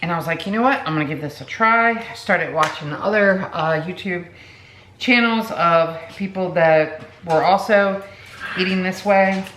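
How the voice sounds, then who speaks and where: A woman in her late forties talks casually to camera with a slightly low voice, wandering through her story with plenty of fillers and asides. She is recorded in an echoey room at home, with clear background noise under her voice. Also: moderate pace, a bit bright. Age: 40s. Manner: chatty, rambling, many fillers and asides. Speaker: vlogger.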